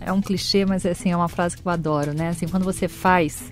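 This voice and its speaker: A woman's voice: fairly low pitch, quick pace, soft background music. A middle-aged woman, a career coach, talking expressively in an interview and acting out quoted lines. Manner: expressive, acts out quoted voices. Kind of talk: conversational interview.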